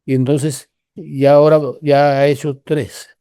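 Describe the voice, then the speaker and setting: An older man from Cuba with a Cuban Spanish accent, telling stories in a relaxed, rambling way with many restarts. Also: fairly high pitch, a little slow, clear, resonant tone, no room sound, studio quality. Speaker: guest researcher. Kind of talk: casual conversational storytelling.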